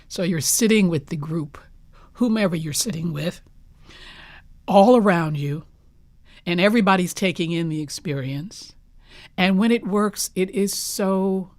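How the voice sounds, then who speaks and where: Deliberate, emphatic woman, lower-pitched voice. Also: no echo, studio quality. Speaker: playwright guest. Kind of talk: reflective panel interview.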